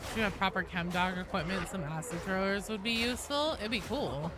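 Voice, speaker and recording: A woman's voice: medium pitch, fairly quick, over music. A woman live streamer chatting casually and wryly with her viewers while she plays a game. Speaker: live streamer hosting gameplay.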